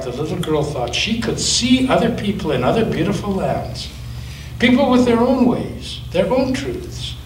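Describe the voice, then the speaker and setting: A man, a pastor, reading a children's story aloud in a church with expressive storytelling, recorded from a distance with a roomy echo and faint hiss. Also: high-pitched. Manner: expressive storytelling, with occasional slips.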